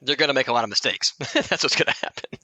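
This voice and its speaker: A man's voice: medium pitch, fast, bright, forceful tone, no echo. A man co-hosting a podcast, tossing in casual, joking remarks. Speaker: podcast co-host. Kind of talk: casual banter.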